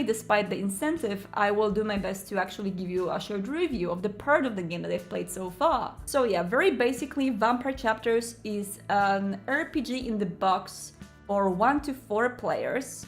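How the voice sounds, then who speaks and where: A woman hosting a video, explaining a product in an emphatic, excitable, casual way. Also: mid-range voice. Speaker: video host and game reviewer. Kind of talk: enthusiastic scripted-casual product explainer to camera.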